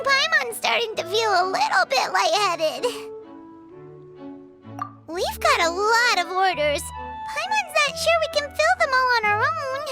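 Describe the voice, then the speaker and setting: A tiny, earnest fairy sidekick from a video game. The voice is piercingly high and squeaky, swinging about excitably like a child's. Loud music plays behind it. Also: female voice, full character voice. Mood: flustered, worn out. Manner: refers to self in third person, exclaiming. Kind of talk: video game cutscene acting. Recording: music under the voice. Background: prominent childlike background music.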